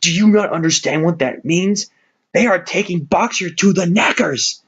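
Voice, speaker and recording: A man's voice: high-pitched, moderate pace, fairly bright tone, slightly rough, lo-fi sound, voice pushed up, heavily acted. A man reading aloud, voicing an old, cynical donkey in a storybook. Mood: alarmed scolding.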